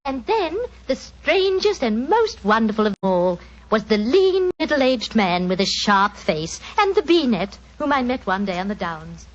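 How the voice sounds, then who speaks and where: Animated, wistful English storyteller. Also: female voice, a little high, unhurried. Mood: nostalgic. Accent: British. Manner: expressive, storytelling. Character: wistful, warm Englishwoman recalling her childhood. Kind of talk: 1940s radio acting, first-person narration. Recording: muffled 1940s radio recording.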